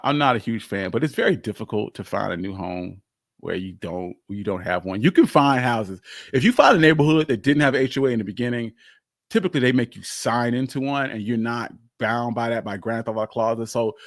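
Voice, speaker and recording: A man's voice: medium pitch, dry recording, clean studio sound. A man hosting a live history stream, talking casually and emphatically as he answers questions, often repeating phrases for weight.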